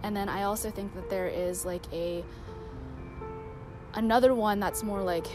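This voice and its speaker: A woman's voice: mid-range voice, fairly even intonation, moderate pace, music in the background. 22-year-old woman, casual filler-heavy answers. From Seattle. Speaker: interviewee. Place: outdoors by bushes.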